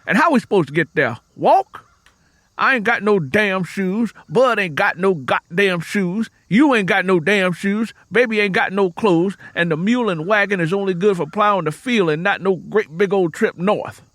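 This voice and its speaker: Guy doing a poor farm dad, proud and desperate, raised forceful voice, audiobook drama. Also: high voice, moderate pace, no echo, clean studio sound, pitched above the performer's own voice. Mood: angry, frustrated. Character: proud, desperate, ashamed poor sharecropper father.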